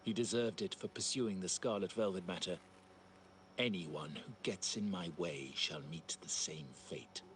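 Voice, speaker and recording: A man's voice: deep voice, unhurried, a bit bright, slight background noise, low-quality recording. A man playing a cold, ambitious schemer in a crime family, speaking with controlled, calculating menace in a video game. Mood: cold, menacing. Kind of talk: dramatic video game dialogue.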